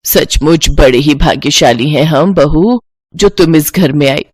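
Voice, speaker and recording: Woman doing fussy middle-aged mom in a bedtime story, lowered voice, dramatic drawn-out wailing. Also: a little mellow, breathy edge, no room sound. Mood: gushing. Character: fretful middle-aged mother, scolding and fussing.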